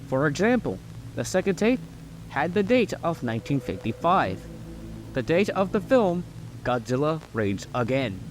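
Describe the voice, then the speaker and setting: A man's voice: on the high side, sing-song, moderate pace, poor audio. A man narrating a scripted video essay, explaining his points earnestly and emphatically with an occasional stumble.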